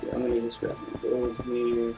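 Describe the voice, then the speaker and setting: A man's voice: mid-range voice, monotone, brisk, warm tone, recorded from a distance, rough recording. A man giving offhand, rambling commentary as he plays a video game. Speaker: let's play gamer. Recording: game music behind the voice.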